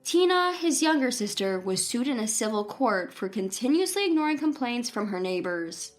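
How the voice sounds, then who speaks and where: Casting: female narrator, high voice, scripted first-person storytelling over faint music. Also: big pitch swings, moderate pace.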